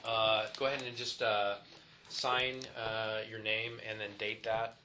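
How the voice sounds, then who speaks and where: A man leading a formal interview, asking procedural questions in a measured way. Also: on the low side, flat delivery. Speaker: investigator conducting the interview. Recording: echoey noisy room.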